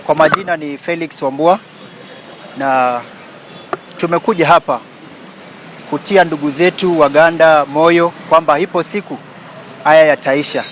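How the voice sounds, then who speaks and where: A man giving a steady, resolute statement. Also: fairly high pitch, normal speed, long pauses, slight background noise. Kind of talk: street statement.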